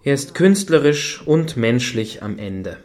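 A man's voice: medium pitch, expressive, measured pace, a little mellow. An actor playing a carping, indignant man in a satirical radio play, emphatic and sardonic. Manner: emphatic, sardonic, long fault-finding sentences. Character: carping, indignant male critic among an artist's entourage.

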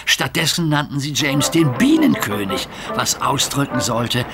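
A man narrating a scripted children's story in an expressive storytelling manner. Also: mid-range voice, a little slow, music in the background.

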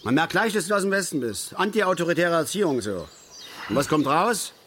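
Folksy, blustering East angler. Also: male voice, on the high side, sing-song, fairly quick, fairly bright tone, slight background noise. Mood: indignant, grumbling. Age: middle-aged. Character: grumbling, opinionated middle-aged East German male angler. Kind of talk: naturalistic German radio drama acting.

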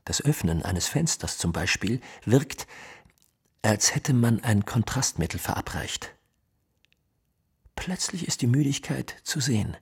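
An actor playing a tired, detached man in a radio play monologue, speaking deliberately with pauses between words in a very husky, breathy voice. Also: medium pitch, big pitch swings, exaggerated voice. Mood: weary, reflective. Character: tired, detached male observer musing on fatigue.

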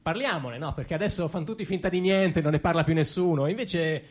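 A man acting a defensive, evasive ordinary father in a halting, repetitive theatrical monologue. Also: a little high, lightly acted. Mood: nervous, reluctant candour. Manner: hesitant, halting, repeating himself. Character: defensive ordinary middle-class father, evasive about his past. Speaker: stage actor performing a monologue. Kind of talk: theatrical comic monologue, as if answering questions.

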